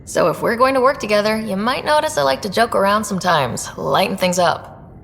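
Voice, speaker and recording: An actress playing a wry, curious young space explorer in a video game, talking in a casual, conversational way. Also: female voice, expressive, a little fast, gravelly, fairly bright tone. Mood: wry, joking. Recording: long reverb, low rumbling noise.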